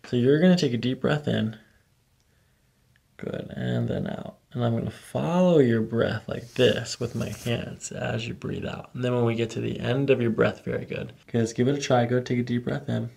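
A middle-aged man speaking as a chiropractor, calmly and gently guiding a patient step by step in a reassuring, gravelly way. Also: mid-range voice, moderate pace. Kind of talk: calm step-by-step instruction to a patient during treatment. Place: small office treatment room, indoors. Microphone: microphone on boom arm near the table.

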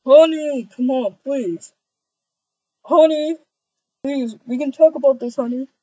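High, wheedling cheating partner played by a woman. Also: takes it slowly, mellow, dry recording, highs cut off. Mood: coaxing. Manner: wheedling, stammering, repeats pet names. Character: pleading, whiny cheating partner begging forgiveness. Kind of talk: amateur video game roleplay acting.

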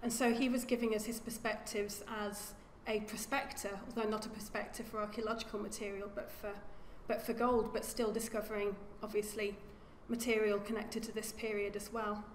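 A woman's voice: medium pitch, flat delivery, a little hiss or hum. Woman lecturing in an echoey hall. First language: English. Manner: measured, self-correcting with repeated words. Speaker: archaeologist giving a lecture. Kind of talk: academic lecture. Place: lecture room.

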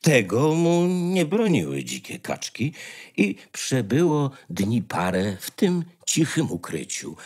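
A man's voice: mid-range voice, huge pitch swings, slow, a little mellow, breathy edge. A man narrating a scripted fairy tale as a dramatic storyteller. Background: faint music.

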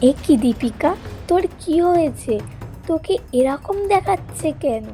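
A woman's voice: high voice, animated, measured pace, dark tone, faint music behind, pitched above the performer's own voice. A woman voicing a curious, easily startled young woman in a cartoon ghost story, with a questioning manner. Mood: startled, anxious. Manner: wondering, questioning.

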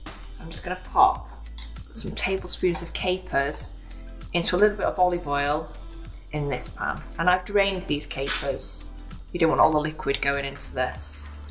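A woman's voice: deep voice, moderate pace, fairly bright tone. A woman in her thirties giving a casual, instructional cooking demonstration as a home cooking host in a kitchen. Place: modern home kitchen, indoors. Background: background music, frying sizzle.

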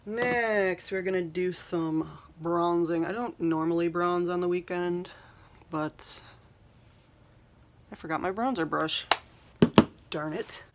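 A soft-spoken woman in her thirties chatting casually while doing her makeup, rambling and saying "um" a lot, recorded on a phone in a small bedroom. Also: fairly low pitch, slow pace, long pauses, background noise. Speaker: beauty vlogger. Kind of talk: casual get-ready-with-me chat. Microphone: phone camera at arm's length.

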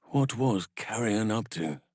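An actor playing a solemn, gentle-hearted druid healer and warrior in a video game, speaking formally and with measured earnestness. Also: male voice, lowish voice, expressive, no echo, studio quality. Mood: solemn, thoughtful.

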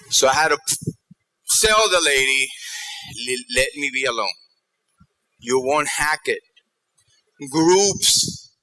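A middle-aged man speaks as a keynote presenter. His voice is bright and forceful at an average pitch. He tells stories in an animated, conversational way, often checking that listeners follow. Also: low-quality recording. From New York and Ecuador. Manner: animated storytelling, checking in with the audience. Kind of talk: motivational conference talk. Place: theater stage in a large auditorium.

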